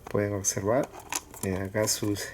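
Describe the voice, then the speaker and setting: A man casually presenting items to viewers in short, halting phrases with pauses. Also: fairly low pitch, little pitch movement, a little mellow. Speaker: collector showing cassettes for sale. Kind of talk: casual product showcase. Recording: clear background noise with clicks.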